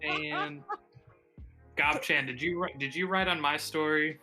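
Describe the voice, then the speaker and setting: A man's voice: on the high side, fairly even intonation, measured pace, pushed and bright. A man talking casually in a group chat on a livestream. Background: light music.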